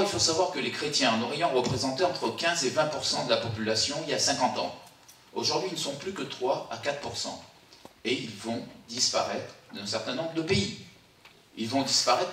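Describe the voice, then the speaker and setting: A man's voice: medium pitch, sharp tone. A man gives an impassioned rally speech, emphatic and repeating phrases for effect. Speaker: speaker at a support rally. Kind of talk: impassioned political speech to a gathering. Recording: echoey, distant microphone, faint background noise.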